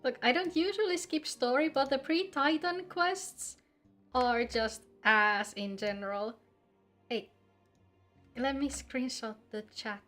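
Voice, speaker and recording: Teasing woman streamer, higher voice, soft music. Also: slow pace. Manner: teasing, sweary, informal. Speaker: live game streamer. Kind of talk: casual chat with stream viewers.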